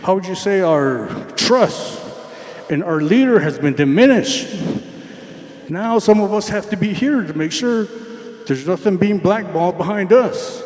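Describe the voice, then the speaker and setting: A man's voice: sits high, expressive, unhurried, darkish tone. A man from the community making an earnest, emphatic public comment at a meeting, at times repeating words as he searches for them. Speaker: community member raising questions. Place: large meeting hall. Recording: echoey hall with long reverb.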